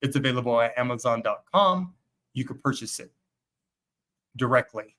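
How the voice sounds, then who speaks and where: A man's voice: mid-range voice, lively intonation, moderate pace, sharp tone. Man, 50s, livestream host, earnest, emphatic, motivational, addresses fathers directly, webcam sound. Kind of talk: motivational talk to viewers.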